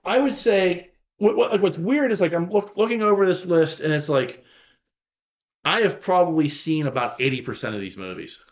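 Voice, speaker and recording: A man's voice: sits high, lively intonation, moderate pace, lo-fi sound. A man in his fifties hosting a casual podcast chat, rambling and wry, poking fun at himself. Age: 50s. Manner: wry, rambling, self-deprecating. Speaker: podcast host.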